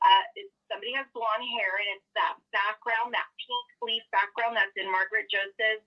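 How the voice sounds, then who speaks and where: A woman's voice: mid-range voice, steady tone, a little fast. A woman caller speaks over a dull, lo-fi telephone line. Her talk is casual and gossipy, as an informal call-in to a radio show. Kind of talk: casual gossipy phone chat.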